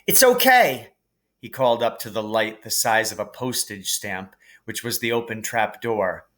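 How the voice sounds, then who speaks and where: A man reading a storybook aloud as scripted narration, in an expressive, dramatic manner. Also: on the low side, moderate pace.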